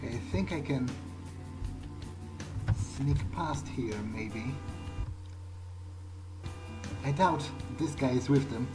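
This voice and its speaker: A wry, careful young vampire fledgling, improvised by a male gamer thinks aloud through a video game. The voice sits at a middling pitch and hesitates as it goes. Music is clearly audible underneath. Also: slow pace, close to an everyday voice, low-quality recording. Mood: cautious, watchful. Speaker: gamer playing in character. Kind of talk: improvised in-character game playthrough monologue.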